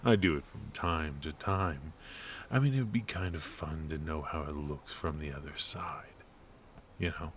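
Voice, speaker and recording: A man's voice: a bass voice, normal speed, a little hiss or hum. A man playing a cocky, ruthless and protective mafia boss in a noir ASMR roleplay, with an intimate, teasing delivery. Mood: flirty, sly. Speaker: voice actor.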